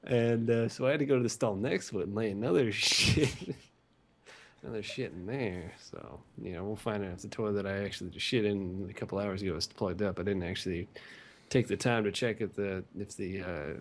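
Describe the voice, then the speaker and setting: A man casually telling crude, vulgar stories in a halting, repetitive, filler-heavy way. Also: on the low side, a little hiss or hum. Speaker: co-host of a visual novel rant show. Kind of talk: casual rambling crude storytelling.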